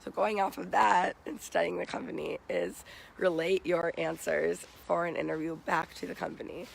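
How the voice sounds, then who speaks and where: A young American man talks casually in a high-pitched voice for a man, with very heavy vocal fry and a raspy, hoarse edge. He sounds like a vlogger handing out tips, recorded outdoors with faint background noise. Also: flat delivery, moderate pace, low-quality recording. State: morning hoarseness. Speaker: vlogger giving job interview tips. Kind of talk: casual advice vlog.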